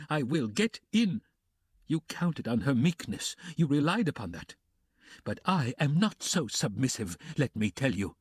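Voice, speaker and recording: A man doing a shrill, frantic consumptive widow. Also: dry recording, studio quality. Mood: defiant. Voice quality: hoarse, wailing.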